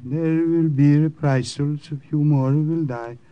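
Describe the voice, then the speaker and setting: An actor playing an earnest, grave Norwegian underground contact, hesitant and deferential, in old radio drama style. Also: male voice, on the high side, fairly even intonation, measured pace, smooth, muffled recording, close to an everyday voice. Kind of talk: 1950s radio drama acting.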